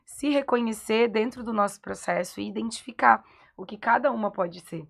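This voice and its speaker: A woman's voice: on the high side, moderate pace, creaky. A Brazilian woman co-hosting a psychology podcast, talking reflectively in a casual conversation. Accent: Brazilian Portuguese. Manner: reflective, affirming with frequent "uhum".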